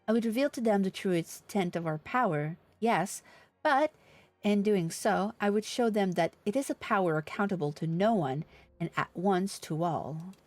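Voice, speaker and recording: A woman's voice: medium pitch, moderate pace, a little mellow, dry recording, lightly acted. A woman reading aloud the lines of an earnest, formal leader of a fantasy order in a video game. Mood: earnest, resolute. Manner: formal, solemn, oratorical.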